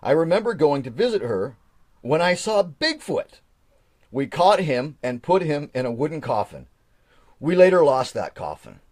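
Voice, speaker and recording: Lively chatty man in his forties. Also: fairly high pitch, breathy edge, no echo, clean studio sound. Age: 40s. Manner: chatty and rambling, with frequent restarts and chuckles. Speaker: live stream host. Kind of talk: casual live chat, reading from an old journal.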